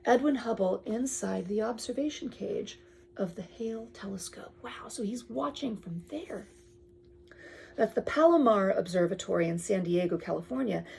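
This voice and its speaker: A woman reading aloud to a child with chatty asides, expressive and full of wonder, often dropping to a whisper. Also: medium pitch, moderate pace, vocal fry.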